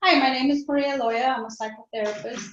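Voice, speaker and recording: A woman's voice: high voice, steady tone, a little fast, noisy background. A native Spanish-speaking woman with a Spanish accent, hesitantly practicing her introduction as a workshop participant. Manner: hesitant, apologetic. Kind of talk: practicing a spoken self-introduction. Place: indoor echoey room. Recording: roomy, distant, dull microphone.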